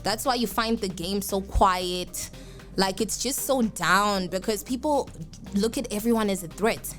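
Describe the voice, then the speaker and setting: This is a young woman with a South African accent, talking openly about her work as an upcoming musician. Her voice sits in a middle register, and her manner is earnest and frank, full of "like". Faint music plays low behind her in the radio studio. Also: a little slow, fairly bright tone. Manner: earnest and outspoken, peppered with "like". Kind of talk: candid radio interview.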